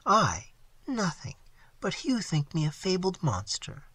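A man voicing an earnest, tearful young woman with a soft, sighing, pleading delivery in a storybook reading. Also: sits high, wildly swooping pitch, unhurried, husky, dry recording, pitched above the performer's own voice, heavily acted. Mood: anguished. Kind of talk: dramatic audiobook character reading.

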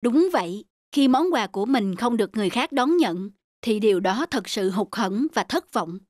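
A man playing a gentle, patient father who explains things to his small son in a cartoon. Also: high voice, expressive, normal speed, no echo, studio quality. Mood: patient, earnest. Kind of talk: cartoon dialogue.

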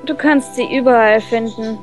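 A woman voicing a taciturn, aloof young woman in a visual novel, terse and measured. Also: on the high side, fairly even intonation, soft background music, natural voice. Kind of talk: visual novel dubbing read aloud.

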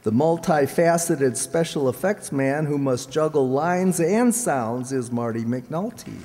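A man's voice: fairly high pitch, animated, unhurried. A man reading out cast credits to an audience as announcer, with joking asides. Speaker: announcer of a community radio players' show. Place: large hall stage, indoors. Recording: echoey hall, audience noise.

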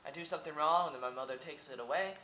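Rambling young man, bright echoey webcam. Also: a little high, racing, slight background noise. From Oregon, United States. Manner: rambling, asks many rhetorical questions, repeats words. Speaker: vlogger. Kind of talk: casual monologue to camera. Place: small indoor room. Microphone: webcam at close range.

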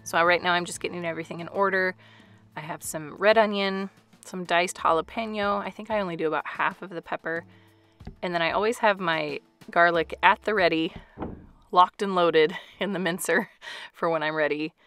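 A woman's voice: lowish voice, fairly even intonation, moderate pace, fairly bright tone, faint music behind. A woman in her thirties chatting casually through a home cooking vlog voice-over, with light laughs. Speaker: family vlogger.

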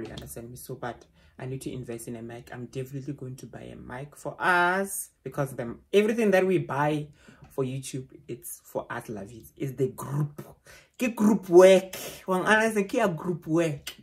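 Young South African woman vlogger, loud voice, rambling casual chat at home. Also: very deep voice. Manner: chatty, rambling, with frequent restarts. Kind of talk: casual talk to camera. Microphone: phone camera.

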